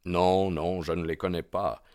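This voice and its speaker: A man's voice: deep voice, steady tone, a little slow, a little mellow, no echo, studio quality. A man voicing a bold, ardent young man in an audiobook, proud and insistent in his delivery. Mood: defiant. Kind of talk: audiobook character dialogue.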